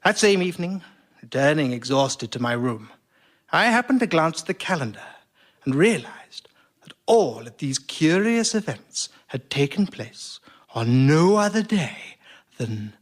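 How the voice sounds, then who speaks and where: A man's voice: fairly high pitch, big pitch swings, a little slow. A man playing a fussy, earnest, slightly pompous fellow in radio sketch comedy, articulate but hesitant. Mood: grandly narrating. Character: fussy, earnest storyteller and anxious exam-taker.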